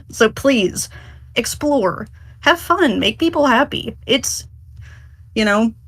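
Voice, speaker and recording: A woman's voice: fairly high pitch, lively intonation, slow, husky. A woman speaking casually as a panelist on a podcast, hedging and self-deprecating as she gives her view. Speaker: panelist, script writer.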